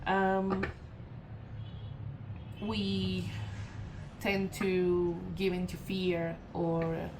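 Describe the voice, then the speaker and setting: A woman from Colombia with a Colombian Spanish accent, giving a casual channeled tarot reading in a meandering way, full of fillers, self-corrections and soft laughs. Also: lowish voice, monotone, extremely slow, pauses often, background noise. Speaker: tarot reader.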